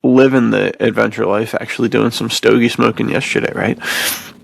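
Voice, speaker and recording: A man's voice: lowish voice, steady tone, moderate pace, creaky, breathy edge, no room sound, poor audio. A man hosting a podcast, chatting casually in a laid-back, slangy way. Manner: laid-back slangy talk, often says dude. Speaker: podcast host.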